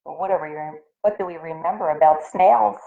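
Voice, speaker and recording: A woman reading a picture book aloud expressively to young children, pausing to explain and ask questions as a teacher would. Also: low voice, creaky, narrow, tinny audio, a little hiss or hum.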